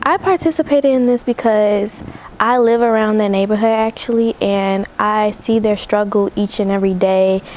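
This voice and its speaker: A woman's voice: medium pitch, moderate pace, darkish tone, a little hiss or hum. An American girl in her early teens giving informal interview answers as a youth volunteer. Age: early teens, eighth grader.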